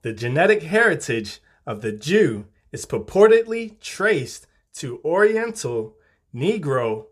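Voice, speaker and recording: Male narrator, fairly high voice, formal and measured, for scripted reference-style reading. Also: big pitch swings. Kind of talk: scripted narration, reading dictionary and encyclopedia entries.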